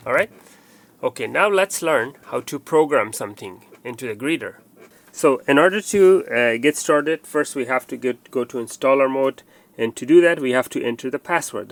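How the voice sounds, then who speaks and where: A man's voice: mid-range voice, creaky, fairly bright tone. A man presenting a hands-on installation tutorial, explaining step by step and often repeating himself with fillers.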